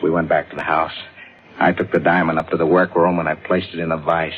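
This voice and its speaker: An actor playing a guilt-ridden, nervous murderous thief in an old-time radio crime drama, tense, faltering and dramatic. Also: male voice, low voice, little pitch movement, fast talker, close to an everyday voice. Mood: grim narrating. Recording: tinny vintage radio recording with music.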